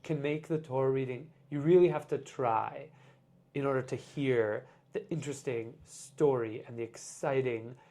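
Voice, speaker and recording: A man, a guest on a podcast, talking in a casual, reflective way and often pausing with "like" as he explains his ideas. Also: mid-range voice, mellow. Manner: reflective, explains with many "like" fillers. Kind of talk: casual conversation.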